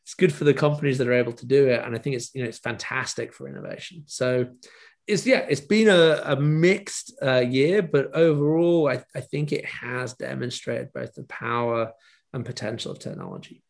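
A man speaks as an interview guest, explaining at length in a fluent, thoughtful way. His pitch is middling, and fillers are scattered through his speech. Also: sing-song, moderate pace, creaky. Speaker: guest, startup investor. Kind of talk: casual interview.

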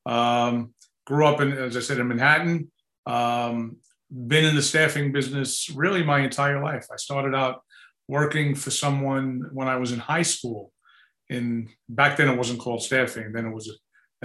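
A man in his sixties with a New York accent, a company founder chatting in an interview, relaxed and rambling with many fillers. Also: mid-range voice. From New York City. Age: 60s. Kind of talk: casual interview chat. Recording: roomy echoey sound.

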